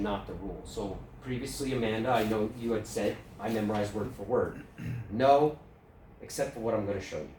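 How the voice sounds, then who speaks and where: New York man teaching a workshop in a roomy hall. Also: lowish voice. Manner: relaxed, questions the audience. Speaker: workshop presenter. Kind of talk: conversational speaking workshop. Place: club meeting room. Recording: roomy, distant mic, faint room noise.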